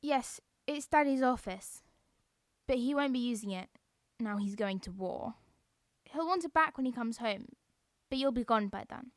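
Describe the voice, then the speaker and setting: A woman's voice: a little high, animated, moderate pace, no echo, clean studio sound. A woman playing a cheeky, blunt young farm girl in a wartime audio play. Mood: matter-of-fact.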